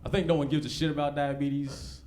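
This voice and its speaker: A man's voice: fairly high pitch, little pitch movement, a little fast, a little mellow, a faint crowd. A forty-year-old American man doing conversational, slangy, profane stand-up comedy in a laid-back way, live in a club. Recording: live club recording with background noise.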